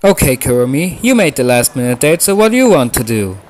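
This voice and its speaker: A man's voice: mid-range voice, big pitch swings, brisk, darkish tone, a little hiss or hum, voice pushed down, natural voice. A man voicing an awkward, easily flustered teenage anime boy in a fan dub, his voice swinging between murmurs and yelps. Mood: casual.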